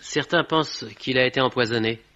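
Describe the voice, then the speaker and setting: Young male amateur sleuth acted by a man; bright, lively, polite and faltering, dull old radio drama recording. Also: mid-range voice, brisk, close to an everyday voice. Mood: startled, insistent. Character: polite, excitable young man investigating with his sister. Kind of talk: 1950s French radio mystery acting.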